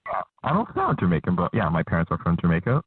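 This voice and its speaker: A male caller with a lowish, husky voice who speaks haltingly and hesitantly. He comes through a narrow, phone-like line that sounds dry and close. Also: huge pitch swings, no echo. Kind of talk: phone-in conversation. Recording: tinny phone-line audio.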